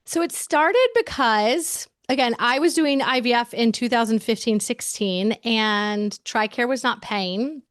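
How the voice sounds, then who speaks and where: A woman's voice: on the high side, unhurried, hardly any pauses, dry recording, clean studio sound. A woman in her forties talking candidly as an interview guest, emphatic and chatty, often saying "like" and restarting her sentences. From United States.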